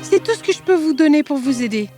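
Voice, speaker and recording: A woman's voice: high voice, animated, fast talker, warm tone. A woman voicing a kindly, anxious old mother in a fairy tale, with music behind her. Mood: solemn. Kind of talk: fairy tale character acting.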